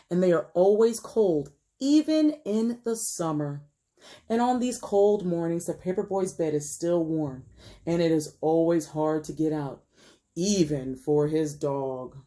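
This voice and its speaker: A woman teacher reading a picture book aloud to young children in an expressive, gentle storytelling manner and putting questions to her pupils. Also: fairly low pitch, a little slow.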